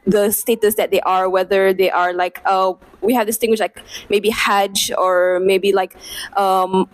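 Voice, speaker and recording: Relaxed young woman, filler-heavy answers. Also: mid-range voice, unhurried, no room sound. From Malaysia. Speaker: interviewee, medical student. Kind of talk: casual interview answers.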